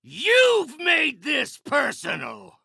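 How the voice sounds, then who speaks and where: An older mad-scientist supervillain from a video game, arrogant and vengeful. He shouts in an extremely high-pitched, harshly strained and heavily gravelled voice, full of theatrical contempt. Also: very animated, moderate pace, no echo, clean studio sound, heavily acted. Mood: raging, contemptuous. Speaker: voice actor. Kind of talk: video game villain acting.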